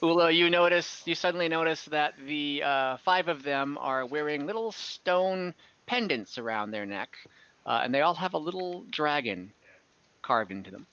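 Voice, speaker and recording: A man narrating an improvised online tabletop fantasy game as game master, in a halting, hesitant way. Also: fairly high pitch, expressive, a bit bright, smooth, muffled recording. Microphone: online voice call.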